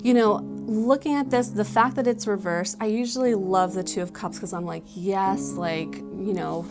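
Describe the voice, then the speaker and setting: A woman's voice: mid-range voice, fairly even intonation, moderate pace. Woman giving a casual tarot reading over music. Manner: conversational, explanatory, often hedging with "like" and "you know". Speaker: tarot card reader. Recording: music playing behind the voice.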